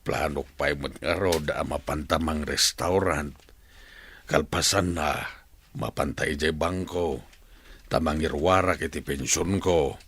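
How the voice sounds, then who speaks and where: An actor playing a lonely, widowed elderly father who speaks softly and plaintively in a husky voice. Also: rumbling low, slow pace, full character voice. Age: older. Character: lonely widowed old father, gentle, longing. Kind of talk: radio drama acting.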